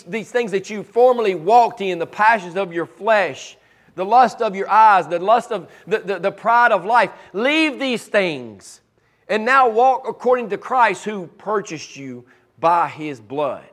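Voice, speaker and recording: High-voiced raspy preacher man. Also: lively intonation, measured pace, fairly bright tone. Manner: fervent, building to emphatic repeated lines. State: raspy voice from cheering and allergies. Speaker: pastor. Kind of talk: preaching a sermon.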